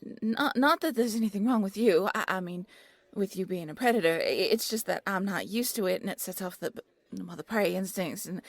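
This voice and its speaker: A timid, apologetic bunny girl, acted by a young woman, stumbles over her words in an audio roleplay. Her voice is soft and breathy, with very little creak. She sounds easily flustered, and the recording is dry and free of room sound. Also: lowish voice, no room sound. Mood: nervous, apologetic. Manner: nervous, stammering, easily flustered. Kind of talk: audio roleplay character acting.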